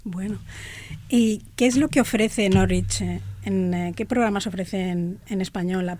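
A woman's voice: mid-range voice, fairly even intonation, unhurried, mellow. A woman hosting a radio interview, reading her welcome and then asking the guest questions. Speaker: radio host.

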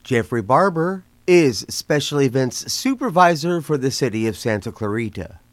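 A man's voice: medium pitch, lively intonation, normal speed, no echo. A man hosting a radio show in a folksy, theatrical showman's manner.

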